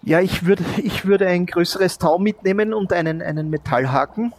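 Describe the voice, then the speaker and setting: Man, higher-pitched, improvising haltingly. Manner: hesitant, halting. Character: adventurous cork shop owner by the sea. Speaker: player in an audio role-play. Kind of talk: improvised role-play dialogue.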